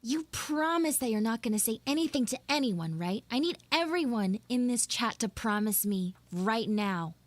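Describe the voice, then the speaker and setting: A woman live streaming an audio roleplay as a bossy, secretive tsundere schoolgirl, halting and teasing, slipping into hushed asides. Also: a little high, lively intonation, no echo. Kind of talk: live in-character audio roleplay with chat banter.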